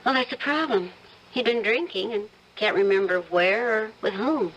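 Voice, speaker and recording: A woman acting in an old-time radio drama as a middle-aged, chatty and gently concerned wife, genteel and conversational. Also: medium pitch, normal speed, natural voice, low-quality recording.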